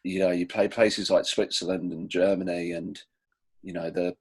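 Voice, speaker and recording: A British man with a deep, low voice talks casually as an interviewed musician. His answers are informal and rambling, with a hesitant way of getting to the point. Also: level tone, normal speed, slightly rough. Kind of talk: casual chat.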